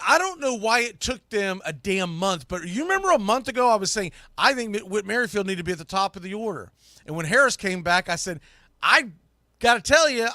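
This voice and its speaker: Bright, forceful male sports podcast host. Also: high-pitched, no room sound, studio quality. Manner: opinionated, informal, mildly salty. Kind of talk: casual live sports talk.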